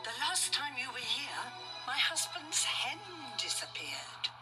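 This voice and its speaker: A woman's voice: sits high, big pitch swings, music in the background, voice pushed up, heavily acted. Woman doing a stern, scolding giantess. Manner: scolding, slow and firm. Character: stern giant's wife, middle-aged woman.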